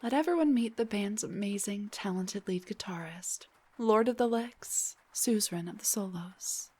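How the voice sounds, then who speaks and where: A woman playing a playful, teasing young rock singer in an intimate roleplay audio drama, speaking softly and breathily, often near a whisper. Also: mid-range voice, slightly rough, natural voice.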